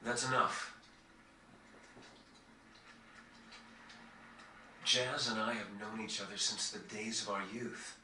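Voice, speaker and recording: A man acting a dutiful, earnest young prince in a video game, speaking in a formal, measured, regretful way. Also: on the low side, fairly even intonation, bright, forceful tone, a little hiss or hum. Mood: calm, sincere. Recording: echoey, distant, over game music.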